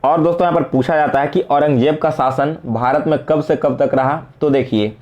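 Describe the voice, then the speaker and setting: Higher-pitched Indian man teaching exam questions. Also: moderate pace, a little mellow, lo-fi sound. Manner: asks questions then answers them, mixing English terms into speech. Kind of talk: explanatory quiz walkthrough, addressing students.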